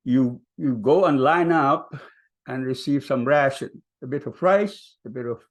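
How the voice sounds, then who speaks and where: An older man from Palestine, telling his family story at a vigil in a soft, hesitant way with many ums. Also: medium pitch, measured pace. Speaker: vigil speaker. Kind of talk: personal storytelling.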